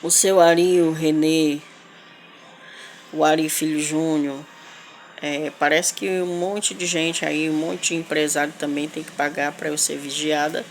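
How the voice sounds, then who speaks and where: Woman, deep register, Northeastern Brazilian accent. Unscripted complaint, emphatic and repetitive with halting delivery, ambient noise behind. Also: level tone. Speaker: local resident making a video appeal. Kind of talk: unscripted spoken complaint to camera. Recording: clear background noise, ambient sound.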